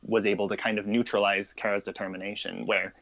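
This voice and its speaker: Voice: low voice, flat delivery, fast talker, a bit bright. A podcast co-host talking casually and thoughtfully, with an agreeable, informal manner. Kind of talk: casual discussion of a fan story.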